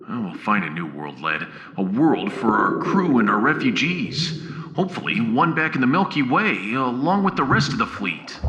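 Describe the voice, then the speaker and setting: Man playing lively, wry starship captain. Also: deep voice, background noise, full character voice. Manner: wry, informal, expressive. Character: affable, battle-tested male starship captain, a family man. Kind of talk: science fiction audio drama acting.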